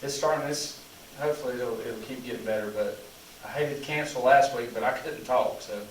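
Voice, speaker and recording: A hoarse man, a pastor casually leading a Bible study, talking in rambling sentences full of "you know". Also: mid-range voice, brisk, a little hiss or hum. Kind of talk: casual teaching and group discussion. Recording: echoey room, mic at a distance.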